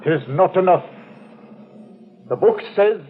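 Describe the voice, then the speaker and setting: High-pitched stern zealot. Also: male voice, animated, slow. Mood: stern, righteous. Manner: stern, commanding. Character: stern, zealous scripture-quoting Scottish demolition foreman. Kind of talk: old-time radio drama acting. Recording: tinny, slightly noisy vintage radio recording.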